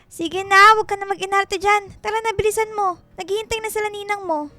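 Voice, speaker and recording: A woman's voice: very high-pitched, fairly even intonation, brisk, sharp tone, dry recording. A woman playing a fussy, affectionate Filipina mother who nags and exclaims, in a radio drama. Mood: scolding.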